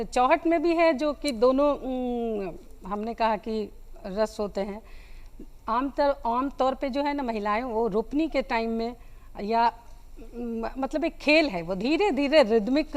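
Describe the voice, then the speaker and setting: A woman with a Bihari accent, explaining folk songs as an interview guest in a gentle way with hesitant pauses. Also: fairly high pitch. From Magadh region of Bihar, India.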